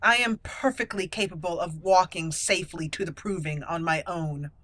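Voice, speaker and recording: A woman's voice: fairly low pitch, moderate pace, a bit bright, dry recording. A woman voicing a proud young dwarven noble lady in formal, imperious speech for a fantasy game roleplay. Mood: indignant, proud. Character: haughty young dwarven noble lady, elven-born, self-assured.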